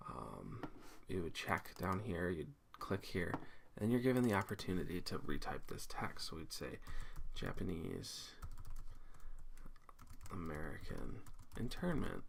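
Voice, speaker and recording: A man with a very low, creaky voice teaches a software task in a casual screencast style. His pitch moves around a lot as he thinks aloud, informally explaining one step after another. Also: slight background noise. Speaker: teacher giving a software tutorial. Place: indoors at a computer, screen recording.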